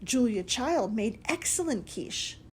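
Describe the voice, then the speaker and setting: A woman's voice: fairly high pitch, expressive, slow, a little mellow, slight background noise. A woman voicing a fussy, motherly older kitchen lady who acts like a mother hen. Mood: fussing.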